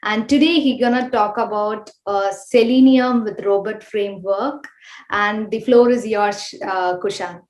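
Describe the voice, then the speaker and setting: A woman hosting an online event, speaking politely and haltingly in a semi-scripted way over a roomy video call. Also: medium pitch, darkish tone. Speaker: host introducing a workshop speaker.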